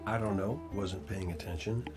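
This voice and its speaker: A man's voice: sits low, moderate pace, lightly acted. An actor playing a chatty, easily sidetracked male fighter who offhandedly recounts a battle in a game trailer. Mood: rambling. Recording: game trailer audio with music. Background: music.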